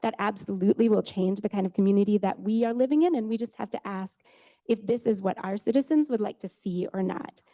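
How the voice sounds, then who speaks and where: Gravelly, mellow-voiced woman speaking at council. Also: mid-range voice, a little fast. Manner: earnest and hesitant, with frequent ums. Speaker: resident at public comment. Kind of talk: public comment. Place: city council chamber.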